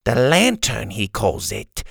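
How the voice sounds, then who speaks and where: A man's voice: lowish voice, sing-song, takes it slowly, gravelly, a bit bright, breathy edge, no room sound, studio quality, heavily acted. A man voicing a chatty old pirate crewman in a children's audiobook, folksy and rambling, repeating himself. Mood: gossipy. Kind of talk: children's adventure audiobook character voice.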